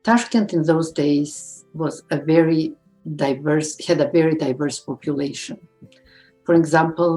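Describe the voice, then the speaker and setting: A middle-aged woman from Uzbekistan, a librarian, answering interview questions thoughtfully with pauses. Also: sits low, mellow, low-quality recording. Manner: thoughtful, pausing with fillers.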